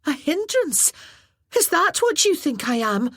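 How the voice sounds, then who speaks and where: A woman voicing a spirited, quick-tempered young heroine with a sharp tongue, in a storybook reading. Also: a little high, dry recording, clean studio sound, voice pushed up. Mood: indignant. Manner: sharp, exclaiming.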